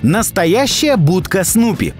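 A male narrator delivers a scripted video voice-over. His voice sits high and moves with lively, animated intonation. Music plays behind him. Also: slow pace. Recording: background music under the voice.

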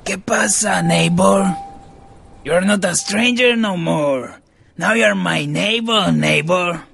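An actor playing an overfamiliar, drawling neighbor creature in a cartoonish noir adventure game. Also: male voice, sits high, slow pace, vocal fry, a bit bright, slight background noise, heavily acted. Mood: chummy.

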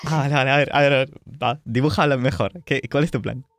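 A man's voice: medium pitch, fast talker, no echo, studio quality. A man with a Castilian Spanish accent narrating and refereeing a tabletop roleplaying game in a conversational way. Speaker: game master.

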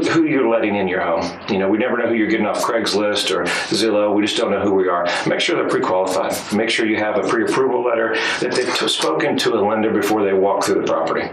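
Radio host, thirties, low and a bit hoarse, chatty pitchman delivery; roomy room, off-mic, noisy. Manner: conversational, upbeat sales-style delivery with fillers. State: slightly hoarse, froggy voice. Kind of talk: live radio talk show monologue. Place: small radio studio, indoors. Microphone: studio mic on boom, wearing headphones. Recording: roomy, distant studio sound with noise.

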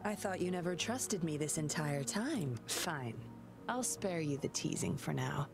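A sly young female undercover agent who teases with smooth, playful confidence. Her voice sits low for a woman, and game music plays clearly behind her lines. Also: animated, unhurried, heavily acted. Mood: teasing. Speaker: game character.

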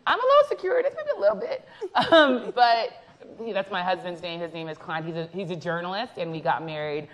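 A woman in her thirties whose first language was Haitian Creole, interviewing on an indoor festival stage with a lapel mic, lively and laughing easily. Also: fairly low pitch, a little fast. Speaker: interviewer, journalist. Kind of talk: onstage festival conversation.

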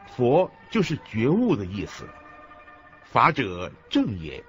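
A man solemnly reciting scripted religious teachings in a radio lecture. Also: medium pitch. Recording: dull lo-fi audio with background music.

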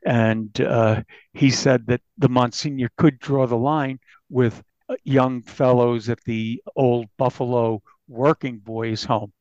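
A male journalist talking through a crime story in a podcast interview, halting and repeating words for emphasis. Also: medium pitch, steady tone, darkish tone, no echo, lo-fi sound.

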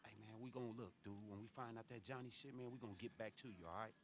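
Man acting a quick, impatient street businessman. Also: lowish voice, a little hiss or hum, natural voice. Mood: businesslike. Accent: American. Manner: slangy, impatient, profane. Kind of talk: video game cutscene acting.

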